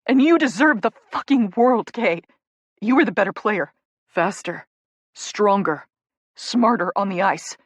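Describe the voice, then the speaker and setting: A woman voicing an anguished young male hockey player who shouts and swears through sobs. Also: medium pitch, animated, unhurried, dry recording. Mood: sobbing.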